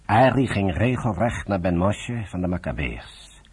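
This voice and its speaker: A man narrating a radio drama in a scripted, dramatic style with a husky, rough voice. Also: deep voice, expressive, unhurried, darkish tone, exaggerated voice.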